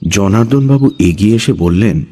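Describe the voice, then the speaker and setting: A man's voice: on the low side, lively intonation, moderate pace, mellow, breathy edge. A man giving a dramatic, scripted narration of a horror story. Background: background music.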